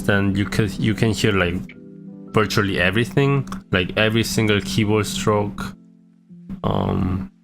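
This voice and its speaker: Low-voiced accented man, hesitant and self-deprecating. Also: gravelly. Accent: non-native English accent. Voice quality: low-pitched. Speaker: streamer. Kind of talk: casual reflective vlog. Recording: background guitar music.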